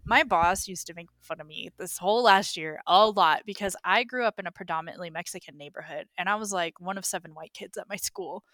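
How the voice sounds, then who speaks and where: A young woman co-hosting a podcast, chatting casually, laughing often and chiming in with quick agreement. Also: fairly low pitch, a bit bright, dry recording. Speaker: podcast co-host. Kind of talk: casual chat.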